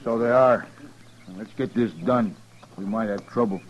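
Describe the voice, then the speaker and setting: A low-pitched American rancher of the old West, played by a male actor, grim yet neighborly. He talks in an unhurried, folksy rural drawl with a matter-of-fact firmness, in the style of a 1950s radio western. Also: a little hiss or hum, natural voice, low-quality recording. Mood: brisk, commanding.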